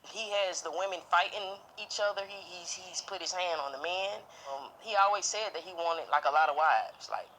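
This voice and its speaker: A woman's voice: on the low side, moderate pace, few pauses, bright, forceful tone, slight background noise, poor audio. Hesitant woman speaking candidly in interview. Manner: hesitant, repeats words, candid. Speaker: interviewee, former group member. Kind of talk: news interview soundbites.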